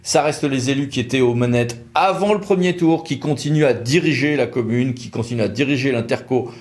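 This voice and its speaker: A man's voice: mid-range voice, brisk. A man with a French accent giving an informal, didactic legal explainer, posing questions and answering them in colloquial terms. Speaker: legal explainer presenting a law firm's videos.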